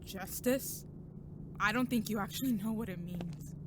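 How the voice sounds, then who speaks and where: A woman's voice: mid-range voice, normal speed, close to an everyday voice. A woman playing a defiant, wounded young agent in an audio drama, bitter, sarcastic and emotionally raw. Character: defiant, wounded young female agent, daughter of a cold commander. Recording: clear ambient noise behind voice.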